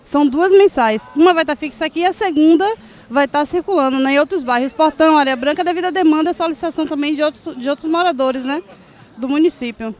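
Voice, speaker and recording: A woman's voice: sits high, fast, a little mellow. A middle-aged Brazilian woman explaining things as an official in an outdoor street interview. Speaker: agriculture department official. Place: busy outdoor street market. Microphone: handheld reporter microphone.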